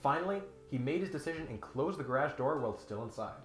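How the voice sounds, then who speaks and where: A man reads a script as the host of a comedic critique. His pitch moves up and down freely, and he slips from mock-serious narration into sardonic, exasperated commentary. The room adds some echo, and music sits faintly underneath. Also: lively intonation, fast talker. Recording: roomy sound with faint music behind.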